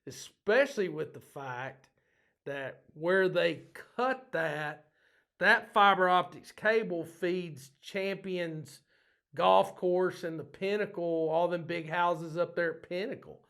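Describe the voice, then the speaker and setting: A man hosting a podcast, ranting bluntly in a rambling, casual way, his voice a little hoarse. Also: high voice, slow pace, a bit bright. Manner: ranting, blunt, self-deprecating. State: slightly hoarse. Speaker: podcast host.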